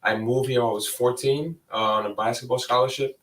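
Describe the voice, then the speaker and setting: A young man from Suriname, a guest on a talk show, giving brief, low-key answers. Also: steady tone, a little fast, a bit bright. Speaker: guest, investor and former coach. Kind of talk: casual interview chat. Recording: distant, roomy remote call audio.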